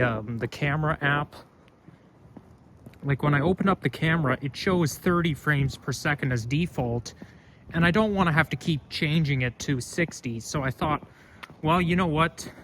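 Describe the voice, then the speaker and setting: A young man with a loud voice, rambling and correcting himself as he talks through a casual walking vlog, recorded on a phone camera outdoors. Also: fairly high pitch. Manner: rambling, chatty, self-correcting. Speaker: vlogger. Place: outdoors on a street. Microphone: phone camera held while walking. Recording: outdoor street noise.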